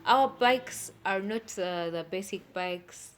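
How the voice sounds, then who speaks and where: A woman's voice: medium pitch, slow pace, a little hiss or hum. A woman speaking as an expert interview guest, explaining things plainly step by step and checking that she is understood.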